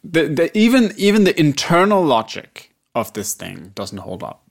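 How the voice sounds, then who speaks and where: Animated, sarcastic Danish man. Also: a little high, moderate pace, vocal fry. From Denmark. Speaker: podcast co-host. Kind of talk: casual chat.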